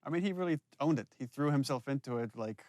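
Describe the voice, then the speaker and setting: A man giving casual behind-the-scenes commentary as a game director, telling it like an animated storyteller. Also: mid-range voice, fast, no echo.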